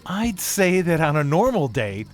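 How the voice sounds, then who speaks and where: An actor playing a laid-back, self-assured DJ with deadpan delivery in casual audio drama banter. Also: male voice, a little high, unhurried, faint music behind. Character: laid-back deadpan DJ explaining absurd theories.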